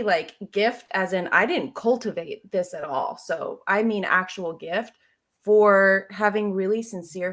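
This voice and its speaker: An American woman talks casually as a guest on a podcast. Her pitch sits in the middle, and she tells personal stories in an emphatic, animated way, dropping in joking asides and acting out little quotes. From Seattle area, United States. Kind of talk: casual conversational storytelling.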